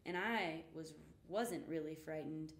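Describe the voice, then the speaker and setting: A woman's voice: low voice, measured pace, voice pushed down. A woman voicing a small gray ship's mouse, proud, helpful and a little timid, in a children's storybook. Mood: bashful. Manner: earnest, announcing proudly. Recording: roomy, echoing indoor sound.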